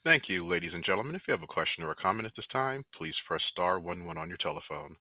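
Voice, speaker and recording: A man's voice: deep voice, sing-song, fast talker, no echo, muffled recording. A man working as a conference call operator, giving scripted instructions in a formal, routine way.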